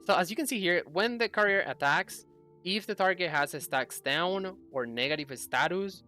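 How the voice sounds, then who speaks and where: Young male gaming host, bright forward voice, casual explanatory delivery with some hesitation, music behind. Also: high voice, normal speed. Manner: hesitant, explanatory, self-correcting. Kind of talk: casual game guide commentary. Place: indoor room at a computer.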